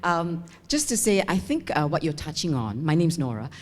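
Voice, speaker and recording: A woman's voice: low-pitched, big pitch swings, normal speed, darkish tone. An older woman teacher making a chatty, rambling comment from the audience with frequent asides. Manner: chatty, laughing, wandering asides. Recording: reverberant seminar hall.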